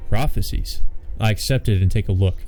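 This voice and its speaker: A man's voice: fairly low pitch, brisk, dark tone. A man reading a visual novel aloud as a let's player, adding wry, offhand comments. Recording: faint music behind the voice.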